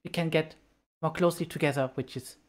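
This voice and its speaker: A woman hosting a convention interview, who asks questions in a gentle, earnest and sometimes halting way. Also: sits low, steady tone. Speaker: convention host.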